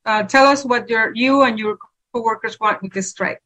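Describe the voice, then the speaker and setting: A woman moderating and interpreting live at a briefing, piecing her phrases together haltingly. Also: on the high side, a bit bright, rough recording.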